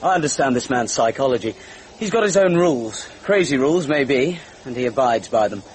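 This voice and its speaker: An actor playing a confident police inspector in a radio drama, matter-of-fact and official. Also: male voice, medium pitch, lively intonation, brisk, natural voice. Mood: grim frustration. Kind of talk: classic radio drama acting. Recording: noisy old radio drama recording.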